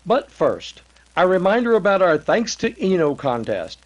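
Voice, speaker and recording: A man acting as an old-time radio announcer from the 1930s, pitched high for a male voice. The delivery is earnest and formal, with the polish of a salesman reading scripted copy. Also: sing-song, normal speed, highs cut off. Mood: earnest, promotional.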